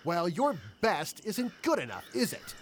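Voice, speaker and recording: A man performs a villainous professor in an audio play. He is menacing and exasperated, drawing out his words slowly and condescendingly with a widely swinging pitch. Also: very animated, a little hiss or hum. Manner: condescending, menacing, drawn-out questioning.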